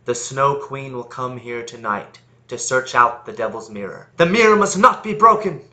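A man playing a fearful, lonely magician who calls himself lord of the sun, in a deliberate, theatrical monologue. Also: medium pitch, lively intonation, bright, forceful tone. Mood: grave, determined. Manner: dramatic, incantatory, deliberate.

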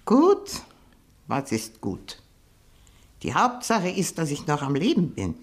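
An actress playing a very old, proud, reclusive aunt in a German radio play, imperious and biting. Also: female voice, sits low, huge pitch swings, takes it slowly, full character voice. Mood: brusque, dismissive. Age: older.

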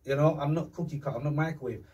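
A man's voice: on the high side, quick pace. A man from London with a London accent, talking emphatically in street slang as a podcast guest. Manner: animated, emphatic, street slang, acts out quotes. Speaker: podcast guest, music artist. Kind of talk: casual chat. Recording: distant mic.

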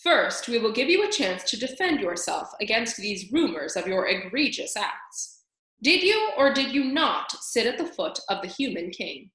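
A woman's voice: a little high, sing-song, normal speed, fairly bright tone, heavy reverb, rough recording. A woman voicing a stern elder male head mouse, formal, pompous and commanding, in a storybook.

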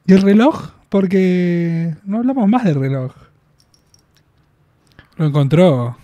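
A man in his twenties with an Argentine Spanish accent, talking through a casual spoken review in a small room on a boom mic, chatty and quick to laugh, with drawn-out fillers. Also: lively intonation, warm tone, no echo, muffled recording. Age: 20s. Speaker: reaction video host. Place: small room in front of packed collectible shelves. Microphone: studio mic on boom arm, headphones on.

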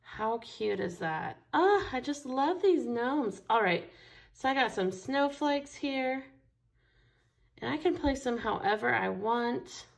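An enthusiastic woman walks through a craft project at her table, her voice a touch higher than most and roughened with a gravelly fry. She chats casually and warmly about each step as she works. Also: lively intonation, slow. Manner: chatty and enthusiastic, talks while working with her hands. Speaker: craft store instructor. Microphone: overhead camera, only her hands seen.

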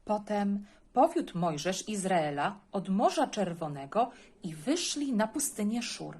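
A woman's voice: mid-range voice, big pitch swings, unhurried, darkish tone, husky. A woman introducing and reading a Bible passage aloud for children.

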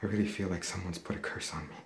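An actor playing a sleepless, paranoid young man in a horror drama, speaking in a halting, agitated, sweary way. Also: low-pitched, steady tone, creaky. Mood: weary, unsettled. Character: sleepless, paranoid, foul-mouthed young man haunted at home. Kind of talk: found-footage horror film acting, confessional to camera. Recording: roomy echo, faint background noise.

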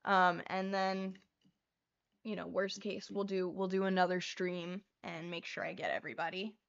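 A young woman hosting a casual livestream from her bedroom, chatting freely and swearing as she paints, with a voice that is getting hoarse. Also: fairly low pitch, flat delivery, moderate pace, dull audio. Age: young adult. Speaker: livestream host. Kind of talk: casual chat while painting cards. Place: small bedroom. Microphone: lapel mic.

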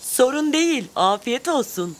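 A woman's voice: high-pitched, sing-song, a little slow, background noise. A woman voicing a timid, good-natured young elephant in a children's cartoon, with fretful, exaggerated delivery. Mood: relieved. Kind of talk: animated children's cartoon dialogue. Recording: music bed behind the voice.

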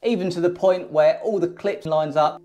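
A man's voice: high voice, moderate pace, warm tone, dull audio. Upbeat English man touring his renovation. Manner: enthusiastic, upbeat. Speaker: home renovation vlogger. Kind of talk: casual walkthrough narration. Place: empty room in a house under renovation.

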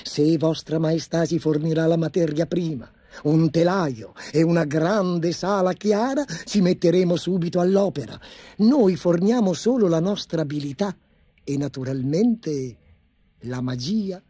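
A man voicing a smooth-talking swindler tailor who flatters slyly. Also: on the high side, a little slow, no room sound. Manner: sly, flattering.

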